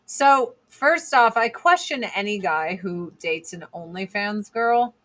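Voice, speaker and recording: Slow-talking blunt woman with a deep voice. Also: lively intonation, fairly bright tone. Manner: blunt, profane, sarcastic, reads chat comments aloud. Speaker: livestream host. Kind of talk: casual livestream chat doing makeup.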